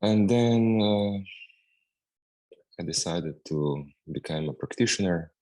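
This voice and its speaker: Very deep slow Slovene-accented man. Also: animated, a little mellow, rough recording. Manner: halting, many hesitations. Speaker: guest practitioner. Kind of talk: interview answers.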